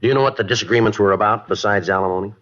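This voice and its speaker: Deep, quick-talking police detective played by a man. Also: lightly acted. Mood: businesslike. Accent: American. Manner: clipped, matter-of-fact, deadpan. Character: polite, businesslike male police detective questioning a witness. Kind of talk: old-time radio detective drama acting. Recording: dull, lo-fi old radio recording.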